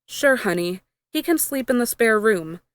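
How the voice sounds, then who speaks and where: A woman's voice: fairly high pitch, animated, normal speed, no room sound, natural voice. A woman reading the storybook dialogue of a kind, easygoing mother. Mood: kind, content. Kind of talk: storybook dialogue in fan fiction.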